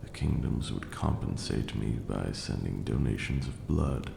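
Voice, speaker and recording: Man acting as gravelly, extremely deep ancient vampire lord. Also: expressive, reverberant, background noise, full character voice. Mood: cold, bitter. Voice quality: very deep, gravelly, breathy at times. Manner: slow, formal, dramatic. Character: ancient male vampire lord, brooding, proud, protective teacher. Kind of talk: ASMR roleplay acting.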